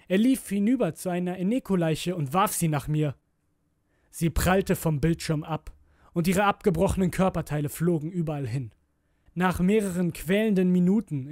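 Brooding male horror narrator, higher-pitched voice. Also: a little mellow, no echo, clean studio sound. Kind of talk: dramatic scripted narration of a creepypasta.

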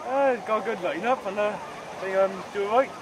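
A man's voice: high voice, fairly quick. A young man with a South Welsh accent giving short, laid-back answers outdoors in a busy square. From Wales. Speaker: fan. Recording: outdoor street noise.

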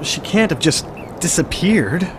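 A man's voice: fairly high pitch, big pitch swings, a little slow. An actor playing an impatient, uneasy young man stranded at night in a radio drama, curt and agitated. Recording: noisy night-time drama soundscape.

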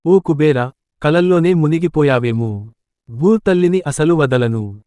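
A proud, steadfast village farmer and father, acted by a man in a moral tale. The voice is on the high side for a man, recorded close and dry. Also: expressive, unhurried, mellow, clean tone, dry recording. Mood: indignant. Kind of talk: scripted moral story dialogue.

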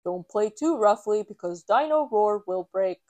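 A woman voicing a mild, slightly grumbly father pig in a children's storybook, in a measured way. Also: mid-range voice, expressive, warm tone, no room sound, natural voice. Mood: cautious.

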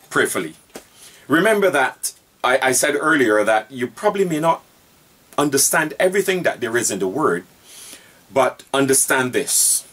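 A man in his forties teaching Bible study as a pastor, speaking deliberately and repeating key phrases for emphasis, filmed by a webcam in a room lined with bookshelves. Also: mid-range voice, fairly bright tone, breathy edge, recorded from a distance.

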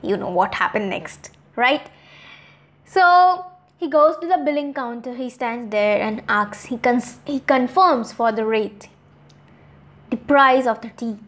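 A woman in her twenties with an Indian accent tells a children's moral tale. Her voice is high, and she goes slowly and deliberately. She is expressive, leaving dramatic pauses between phrases. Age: 20s. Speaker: storyteller, host. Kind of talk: moral story narration for children.

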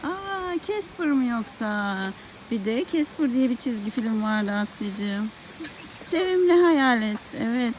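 A woman's voice: on the high side, lively intonation, unhurried. A woman chatting casually with a child outdoors in a park, explaining things and asking simple guiding questions. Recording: very noisy on-location recording with outdoor ambience.

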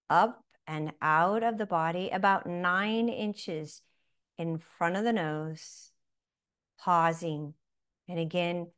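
A woman guiding a breathing meditation, speaking softly with long pauses between phrases. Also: lowish voice, clean tone. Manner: slow, soft-spoken, with long pauses. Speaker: meditation guide.

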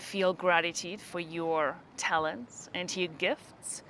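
Very slow-paced woman guiding a meditation. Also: on the low side, fairly even intonation, fairly bright tone, a little hiss or hum, low-quality recording. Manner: unhurried, short phrases with long pauses. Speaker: meditation guide. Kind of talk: guided meditation, slow spoken instructions.

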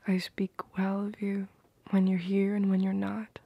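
Woman acting as loving protective girlfriend, ASMR roleplay, whispery, gravelly fry, slow, intimate, softly teasing. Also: medium pitch, monotone, dry recording, lo-fi sound. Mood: tender, reassuring. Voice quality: breathy, whispery. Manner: slow, intimate, tender murmuring. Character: tender, protective, playfully teasing girlfriend at bedtime. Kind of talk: ASMR romantic roleplay, whispered and soft-spoken. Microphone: close microphone.

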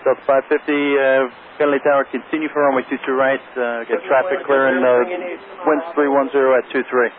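A man's voice: medium pitch, level tone, brisk, phone-quality sound, a crowd in the background. A man working as an air traffic controller, giving clipped, businesslike instructions over radio. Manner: procedural, businesslike.

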